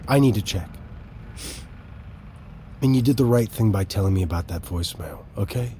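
Actor as low-voiced, urgent, protective father. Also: animated, moderate pace, mellow, a little hiss or hum, lightly acted. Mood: tender, worried. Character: anxious protective father, corporate security chief and lawyer. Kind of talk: naturalistic audio drama acting.

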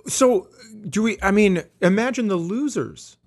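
A man with a high, animated voice banters as a comedy co-host. He talks slowly and casually, sounding incredulous as he jokes. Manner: incredulous, joking.

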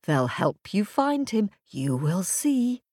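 Lady doing a magic birch tree from a fairy tale, whispery, slow chanty rhymes. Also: medium pitch, big pitch swings, darkish tone, no echo, studio quality. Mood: mysterious.

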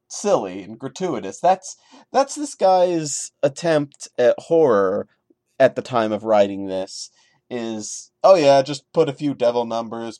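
Guy podcaster with a soft mellow voice, reads stuff dramatically then gets snarky about it, super dry sound. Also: medium pitch, dry recording. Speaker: podcast host. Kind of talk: dramatic reading of a horror story with mocking commentary.

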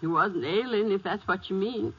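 Muffled vintage radio, distraught country daughter, tearful, raspy, guilt-ridden. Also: female voice, medium pitch, normal speed, fairly bright tone. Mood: defensive, upset. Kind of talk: 1950s radio western acting. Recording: muffled 1950s radio recording.

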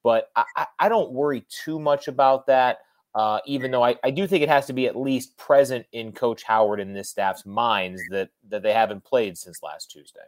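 A man's voice: mid-range voice, fairly even intonation, normal speed. A man giving casual, emphatic sports analysis as a guest on a podcast.

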